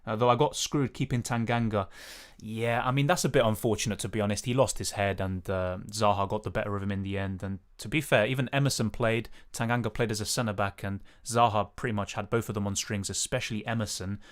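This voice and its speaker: Young man, raspy, lower register, casual live-stream Q&A host. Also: fairly even intonation, fast. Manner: casual, hedging, many fillers. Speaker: fantasy football streamer. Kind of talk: live stream Q&A, reading chat.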